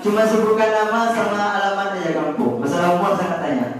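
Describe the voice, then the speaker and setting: A man's voice: high voice, fast talker, crowd noise. Young man talking in an echoey hall. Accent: Indonesian, Acehnese. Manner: relaxed, chatty, asks the class questions. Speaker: calligraphy trainer. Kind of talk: casual workshop talk to students. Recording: echoey hall, voice from far off, students around.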